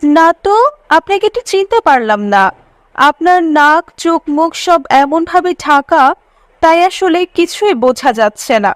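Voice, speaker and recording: A woman's voice: very high-pitched, lively intonation, normal speed, dry recording. A woman voicing a pious, moralising young college girl in a cartoon story. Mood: earnest, approving. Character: pious, scolding young college girlfriend Mariam.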